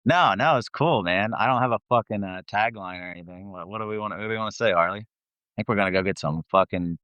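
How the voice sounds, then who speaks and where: A man's voice: on the low side, fast, fairly bright tone, smooth, no room sound, dull audio. A man chatting casually as an interview guest, rambling and self-deprecating, with some swearing. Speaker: guest, metal band vocalist. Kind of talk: casual chat.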